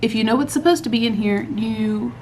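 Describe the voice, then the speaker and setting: A woman, hosting a casual craft podcast from home at night, a bit hoarse after losing her voice, chatty and rambling. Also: fairly high pitch, a little fast, a little mellow, slight background noise. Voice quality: hoarse, recovering from lost voice. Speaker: podcast host. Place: home at night, laundry running.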